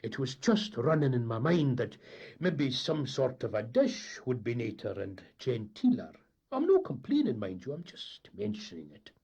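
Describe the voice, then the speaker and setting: A man's voice: mid-range voice, very animated, normal speed, slightly rough, pitched above the performer's own voice. Man doing a mild old skipper. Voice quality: mild, gently fussy. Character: mild elderly Scottish boat captain. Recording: dull lo-fi recording.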